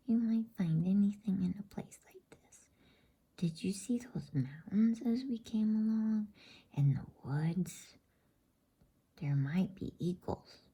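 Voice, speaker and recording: A woman voicing a confident, eager eldest brother in a storybook, in a breathy whisper. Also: medium pitch, dark tone, exaggerated voice. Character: bold, eager boy explorer, eldest brother.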